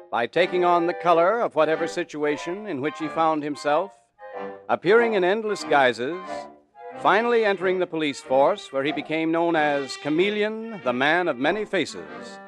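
A man announcing and narrating a 1940s radio drama in a formal, dramatic, scripted style. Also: fairly high pitch. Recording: old 1940s radio broadcast with music. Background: music.

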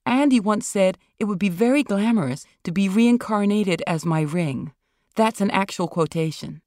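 A woman's voice: mid-range voice, normal speed, a little mellow, dry recording, clean studio sound, lightly acted. A woman voicing a glamorous ageing actress with a grand, theatrical manner.

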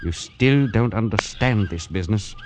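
A man's voice: lowish voice, expressive, moderate pace, noisy background, lightly acted. A man playing a stern, scolding expedition leader in a radio play. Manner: urgent and scolding. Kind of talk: old-time radio drama acting.

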